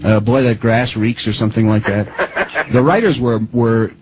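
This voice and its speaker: A man's voice: medium pitch, fairly even intonation, moderate pace, lo-fi sound, noisy background. A man chatting casually as the interviewer on a radio show.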